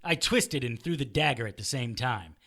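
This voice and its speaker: A man's voice: medium pitch, expressive, normal speed, bright, forceful tone. A man playing a battered, wisecracking private investigator in an audio drama, narrating in a sardonic, hard-boiled manner. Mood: tense narration.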